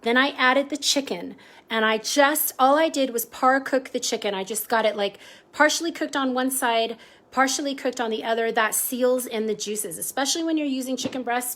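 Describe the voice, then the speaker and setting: A woman's voice: fairly high pitch, a bit bright. A woman hosting a casual live cooking stream from her home kitchen, chatty, greeting viewers by name and easily sidetracked. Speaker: host of a cooking livestream.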